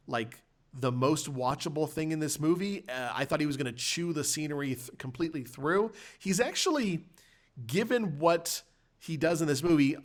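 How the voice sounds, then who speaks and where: A man hosting a podcast, casually recapping and reviewing a film in a halting, rambling way. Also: fairly high pitch, fairly bright tone. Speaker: podcast host.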